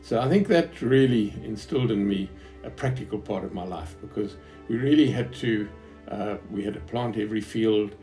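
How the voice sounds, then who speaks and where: Gravelly, low-voiced older Southern African man. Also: mellow, soft background music. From Zimbabwe. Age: 70s. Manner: hesitant, reflective, halting phrasing. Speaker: businessman recounting his life. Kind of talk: unscripted autobiographical storytelling to an interviewer.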